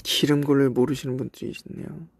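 A young Korean man from South Korea with traces of a Cheongju accent, chatting casually on a livestream and reminiscing in a relaxed way with soft asides. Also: medium pitch, fast, vocal fry, no room sound. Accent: Cheongju dialect traces in Korean. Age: young adult. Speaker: idol livestream host. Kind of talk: casual livestream chat reading comments. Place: indoors, voice-only stream.

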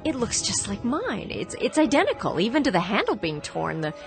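Young woman, flustered, hesitant, insistent, animated pitch, radio drama, faint music behind. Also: fairly quick. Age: young adult. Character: anxious, level-headed young woman traveler, unsettled.

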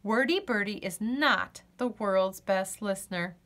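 A woman narrating a children's storybook aloud with expressive, deliberate phrasing. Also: medium pitch.

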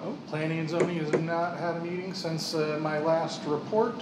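Commission chair, offhand casual report, raised pitch, echoey room with loud hum. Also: male voice, fairly even intonation, normal speed. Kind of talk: short meeting report. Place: council meeting room. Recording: roomy echo, loud hum.

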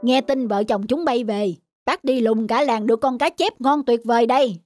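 A man's voice: extremely high pitch, moderate pace, over music. A man voicing a hearty, generous middle-aged village uncle who likes to tease, in a cartoon family drama. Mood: hearty, teasing.

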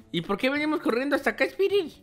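Young guy hosting gameplay, high youthful voice, playful and excitable, music behind him. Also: a little fast. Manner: playful, exclamatory. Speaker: gaming video host. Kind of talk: excited gameplay roleplay chat. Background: background music.

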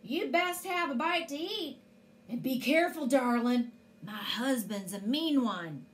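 A woman's voice: high voice, lively intonation, a little slow. A woman voicing a kindly, welcoming giant ranch wife in a storybook, with a folksy drawl. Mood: caring.

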